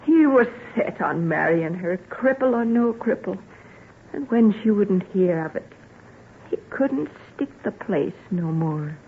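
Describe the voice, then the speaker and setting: A woman's voice: medium pitch, lively intonation, unhurried. An actress playing a plain-spoken, sorrowful woman in an old-time radio drama. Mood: pleading, hopeful. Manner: earnest rustic dialect storytelling. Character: plain-spoken sorrowful country woman, devoted to her family. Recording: tinny, noisy old radio recording.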